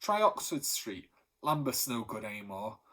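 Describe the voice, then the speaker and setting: A man's voice: mid-range voice, moderate pace. A man voicing a mild, easygoing father in a storybook. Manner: mild, calm.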